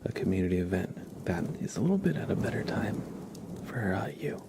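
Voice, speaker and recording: A man hosting an ASMR livestream, talking to viewers in a soft, hushed, gentle and halting way. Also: low-pitched, very animated, background noise. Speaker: live streamer, ASMR host.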